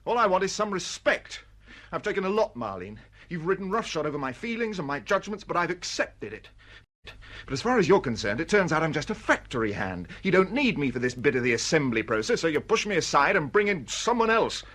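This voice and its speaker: A man's voice: fairly high pitch, very animated, fairly quick, fairly bright tone. An actor playing a proud, put-upon male novelist with a dry, indignant manner in a radio drama. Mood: indignant, wounded.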